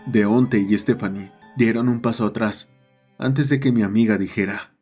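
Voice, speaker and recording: Low-pitched male horror narrator over music. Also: steady tone, moderate pace, a little mellow. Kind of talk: scripted horror story narration.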